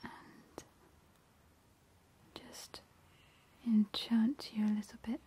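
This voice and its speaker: Whispering woman, very slow ASMR vampire. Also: on the high side, level tone, dark tone, slightly rough. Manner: whispering, slow and soothing, with repeated words. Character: playful, seductive female vampire. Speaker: ASMR host.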